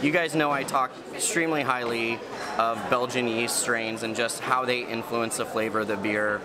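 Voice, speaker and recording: A man's voice: mid-range voice, steady tone, moderate pace. A man in his thirties casually reviewing beers at a brewery cafe, chatty and enthusiastic with lots of fillers. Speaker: beer reviewer. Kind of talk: casual beer tasting review. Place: busy brewery cafe indoors. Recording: loud cafe crowd behind. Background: crowd chatter.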